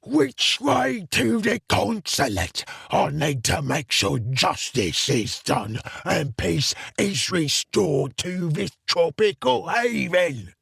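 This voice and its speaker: A man playing a wealthy, melodramatic alien socialite in an audio drama, with a raspy voice and theatrical, accusing delivery. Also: on the high side, sing-song, a bit bright, no room sound, clean studio sound, heavily acted. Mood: grandly righteous. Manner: theatrical, drawn-out accusing wailing. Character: melodramatic wealthy alien influencer, loudly wronged theft victim.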